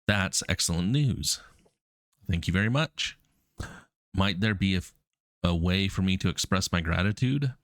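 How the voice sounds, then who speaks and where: A man's voice: deep voice, lively intonation, measured pace, long pauses, no room sound, clean studio sound. A man with a cold reading the polite reply of a young adventurer. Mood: grateful. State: stuffy with a cold. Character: grateful young male adventurer.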